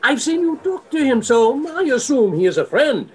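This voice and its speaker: A man playing a courteous, flattering nightclub regular in an amateur radio play. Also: extremely high pitch, normal speed, slightly rough, soft background music, exaggerated voice. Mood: gentle, curious. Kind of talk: hobby radio play acting.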